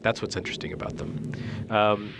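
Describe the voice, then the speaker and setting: A man's voice: lowish voice, fairly even intonation, fairly quick, background noise. A man giving casual, wry commentary as a video game critic, joking as he talks.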